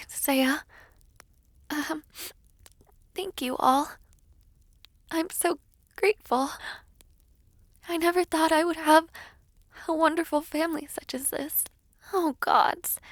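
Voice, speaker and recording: A woman's voice: sits high, slow, vocal fry, long pauses, no echo, studio quality, exaggerated voice. A woman playing an overjoyed, grateful young bride who is crying, in an audio roleplay drama. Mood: tearful joy.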